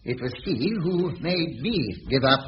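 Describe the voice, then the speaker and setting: Pompous, singsong English manager. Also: male voice, on the high side, expressive, a little slow. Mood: officious. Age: middle-aged. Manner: pompous, officious, self-pitying. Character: pompous, long-suffering middle-aged male manager. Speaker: actor in a radio sitcom. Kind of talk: British radio sitcom acting. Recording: muffled, noisy old radio recording.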